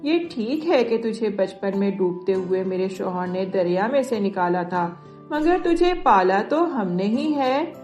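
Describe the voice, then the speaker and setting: A woman's voice: mid-range voice, animated, a little slow, a little mellow, lightly acted. A woman voicing a loving, hurt foster mother, a fisherman's wife in a storybook tale, pleading and emotional. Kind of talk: acted dialogue in an Urdu moral storybook tale. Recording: roomy echo, music underneath.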